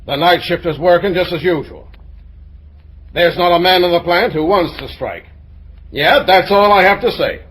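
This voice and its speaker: An actor playing a gruff, blunt, middle-aged businessman father with a pompous, blustering manner in an old radio drama. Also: high-pitched, normal speed, fairly bright tone, low-quality recording. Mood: curt, irritated. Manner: blustering, pompous, hesitating with ums. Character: gruff, blunt older father and plant owner, disapproving.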